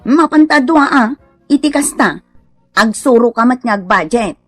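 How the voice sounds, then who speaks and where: A woman's voice: fairly high pitch, lively intonation, measured pace, dry recording, faint music behind. An Ilocano-accented woman playing a whiny, jealous mother of many in a comedy radio drama, complaining dramatically. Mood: nagging.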